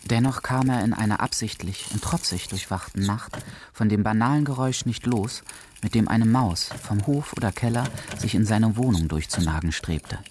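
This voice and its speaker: A man narrates literary prose for a radio drama in a measured, deliberate, emphatic way. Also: lowish voice, darkish tone. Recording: clearly noisy recording with clicks.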